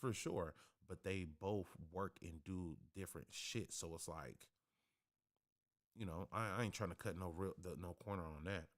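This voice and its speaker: A man with a deep, bassy voice talks straight into a studio microphone in his home studio. His speech is blunt, streetwise and full of cursing and slang, with a low-pitched voice. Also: moderate pace. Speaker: livestream host. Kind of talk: casual livestream talk. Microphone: studio podcast microphone.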